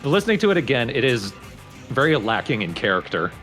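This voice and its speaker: A man's voice: mid-range voice, big pitch swings, fast, fairly bright tone. A man giving casual, opinionated commentary on a video game among friends, explaining what he thinks of it. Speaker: let's play co-commentator. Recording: game music behind the voice. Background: video game music.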